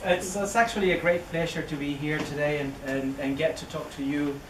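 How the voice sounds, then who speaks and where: A man's voice: on the high side, steady tone, brisk. A man in his thirties with a Chilean Spanish accent, a native Spanish speaker, giving a public talk as an orchid researcher, hesitant with many fillers and restarts. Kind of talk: public lecture. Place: indoor venue near a greenhouse. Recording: distant roomy recording with noise.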